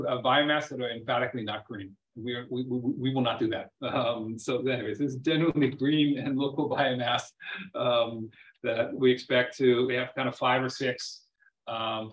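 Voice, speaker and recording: A man speaking as a company chairman on a web earnings call, presenting semi-formally off the cuff, haltingly, often repeating and rephrasing his words. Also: mid-range voice. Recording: dull, lo-fi web call audio.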